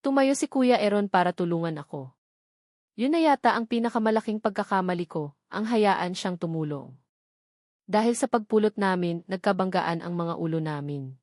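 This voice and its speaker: Female romance narrator, Filipino accent, mid-pitched, emotive dramatic storytelling, dry recording. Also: animated, normal speed, darkish tone, no room sound.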